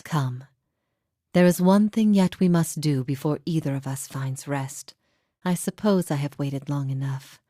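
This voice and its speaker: A woman playing a grave, weary yet steadfast imprisoned heretic prophet in a fantasy game, speaking formally and with measured solemnity. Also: low-pitched, no room sound, clean studio sound. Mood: solemn, resolute. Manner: solemn, measured, formal, often dropping to breathy softness. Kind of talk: dramatic fantasy game dialogue.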